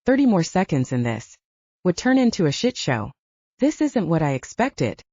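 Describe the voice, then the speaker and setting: A woman reading a first-person story as an audiobook narrator in a scripted, expressive storytelling style. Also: fairly low pitch, normal speed, no echo, highs cut off.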